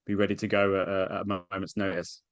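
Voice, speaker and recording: Fast-talking low-voiced male interviewer. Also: level tone, no echo, highs cut off. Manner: rambling questions with restarts. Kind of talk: press junket interview.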